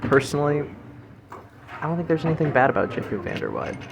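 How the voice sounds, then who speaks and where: A man's voice: on the high side, huge pitch swings, quick pace. A man co-hosting a comedy podcast with mock-earnest, opinionated delivery. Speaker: podcast co-host. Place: local restaurant. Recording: clearly noisy restaurant background.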